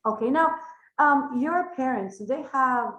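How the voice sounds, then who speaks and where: A woman's voice: high voice, a little slow, a bit bright, echoey room, muffled recording. Filipino-accented woman hosting a podcast. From Philippines. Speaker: podcast host. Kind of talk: casual podcast interview.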